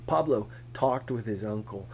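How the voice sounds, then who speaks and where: A man reading a novel aloud as scripted narration, in a measured, deliberate manner. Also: on the low side, darkish tone, slight background noise. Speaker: audiobook reader.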